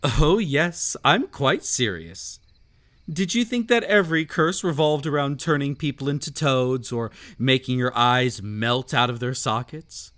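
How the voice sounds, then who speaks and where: A man playing the whimsical, mysterious and sinister host of a haunted estate in a scripted audio drama, teasing and theatrical with drawn-out phrasing. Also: mid-range voice, fairly bright tone, natural voice. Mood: ominous teasing.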